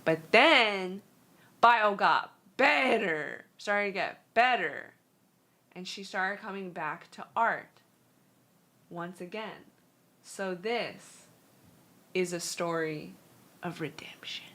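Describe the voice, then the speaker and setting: A woman's voice: mid-range voice, bright, forceful tone. A woman hosting a casual art critique livestream, chatting loosely and reading out viewer messages. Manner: chatty, loose, reads and translates viewer text; halting non-native Spanish. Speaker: stream host.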